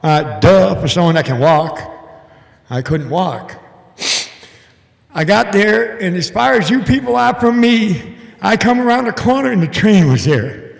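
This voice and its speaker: An older man with a big, booming voice giving an earnest, halting personal testimony to a church congregation over a mic. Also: animated, creaky. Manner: earnest, halting, emotional storytelling. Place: church hall. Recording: echoey hall with long reverb.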